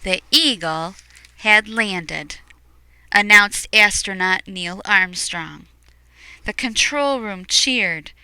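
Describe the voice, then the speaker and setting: Bright, clear woman reading a storybook aloud. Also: mid-range voice, slow pace, no echo, low-quality recording. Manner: expressive, with hushed asides to young listeners. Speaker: picture book reader. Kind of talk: storybook read-aloud with explanatory asides.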